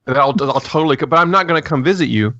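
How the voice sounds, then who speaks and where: A man hosting a podcast who rants in casual chat, swearing and throwing in quick asides. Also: a little high, sharp tone, no echo, lo-fi sound. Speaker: podcast host.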